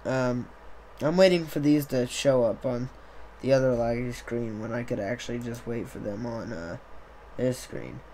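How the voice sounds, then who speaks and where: A young man, slightly hoarse, chatting casually on a live stream into a desk mic on a boom arm, rambling with many fillers. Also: normal speed, dark tone, a little hiss or hum, rough recording. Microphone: desktop USB mic on a boom arm.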